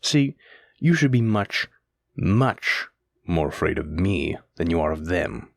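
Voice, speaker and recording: Guy doing a demon lord ruling hell, lowish voice, measured and bossy, dry close sound, audio roleplay. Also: sing-song, vocal fry, no room sound, full character voice. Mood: questioning, displeased. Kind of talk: scripted audio roleplay monologue.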